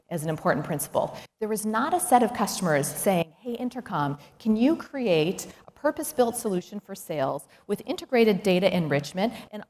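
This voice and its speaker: A woman's voice: mid-range voice, a little mellow. A woman executive giving a prepared business keynote, speaking in an instructive, emphatic way with rhetorical questions. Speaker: chief executive giving a conference talk. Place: large indoor talk venue. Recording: echoey hall, noticeable background noise.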